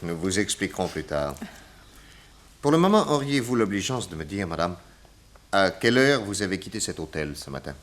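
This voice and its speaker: A probing private detective in a radio mystery. His low voice moves expressively as he questions with polite, skeptical insistence. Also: very animated, moderate pace, a bit bright. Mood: politely probing. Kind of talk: French detective radio drama acting.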